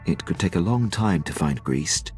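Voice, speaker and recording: An actor playing a deferential, polite and wry military aide in a video game. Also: male voice, low voice, animated, moderate pace, darkish tone, soft background music. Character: smooth-talking, deferential male military aide. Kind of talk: video game dialogue acting.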